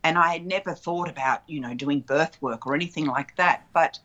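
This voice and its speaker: A woman's voice: sits low, brisk, a bit bright, muffled recording. A woman in her fifties, a childbirth educator, telling her story in a flowing, reflective way in an interview. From Australia. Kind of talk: relaxed interview conversation.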